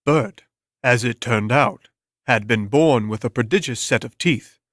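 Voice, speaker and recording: A man reads a story as an audiobook narrator, with a measured and deliberate delivery. His voice sits at a medium pitch. The recording is close and dry. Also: a bit bright, dry recording. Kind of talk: scripted dramatic story narration.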